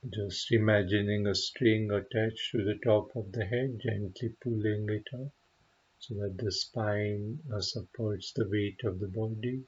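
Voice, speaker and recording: A man leading a guided meditation as a teacher, speaking gently and unhurriedly with frequent pauses and a husky voice. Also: lowish voice, steady tone, muffled recording. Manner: gentle, unhurried, pausing often.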